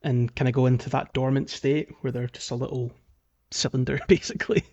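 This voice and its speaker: Guy podcasting about games, mid pitched voice, rambly and casual, bit lo-fi sound. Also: level tone, a little fast, darkish tone. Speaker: podcast host. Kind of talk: casual game discussion podcast.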